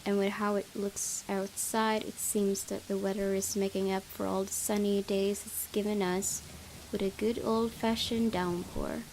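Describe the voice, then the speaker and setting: A woman's voice: mid-range voice, level tone, a little slow, darkish tone, poor audio. A woman hosting a gaming video, reading visual novel narration aloud with casual asides. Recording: clear background noise.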